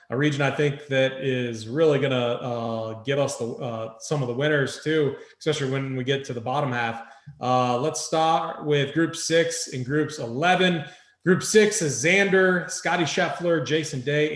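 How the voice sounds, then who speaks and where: A man with a slightly high voice hosts a casual sports betting talk. He speaks informally and conversationally, and the room adds a clear echo around his words. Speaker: host of a fantasy golf picks show. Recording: roomy echo.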